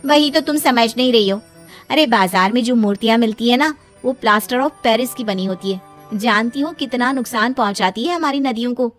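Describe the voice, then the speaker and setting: A woman's voice: on the high side, normal speed, faint music behind, natural voice. A woman voicing a principled young female friend in a cartoon story, earnest and persuasive. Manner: earnest, explaining.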